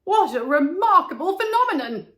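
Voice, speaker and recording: A woman's voice: very high-pitched, big pitch swings, moderate pace, fairly bright tone, voice pushed up, heavily acted. A woman voicing an astonished, pompous male professor in a storybook, exclaiming grandly. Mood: amazed.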